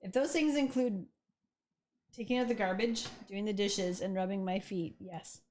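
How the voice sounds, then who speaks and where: A woman's voice: medium pitch, moderate pace, a little mellow, slight background noise. Teasing Canadian woman reading chat. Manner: playful and teasing. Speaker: co-host reading viewer comments. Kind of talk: reading chat messages aloud, casual banter.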